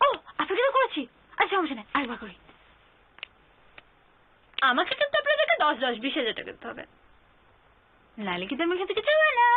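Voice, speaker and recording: A young woman acting a cheeky, bold character in a TV romantic drama, chattering insistently and quick to talk back. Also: sits high, wildly swooping pitch. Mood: chirpy, pushy. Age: young adult. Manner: chattering, insistent, repeats herself. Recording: thin phone-like sound, faint music.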